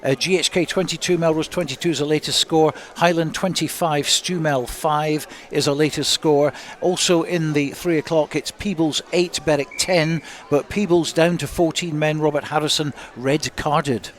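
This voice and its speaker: A man delivers a round-up of latest scores on live sports radio. His voice sits a little higher than most men's as he reports each result. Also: steady tone, moderate pace, few pauses.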